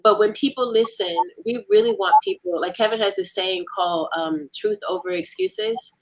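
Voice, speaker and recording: A woman being interviewed about her team's work, talking casually in earnest, halting phrases. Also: medium pitch, big pitch swings, gravelly, poor audio. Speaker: audio drama team member being interviewed.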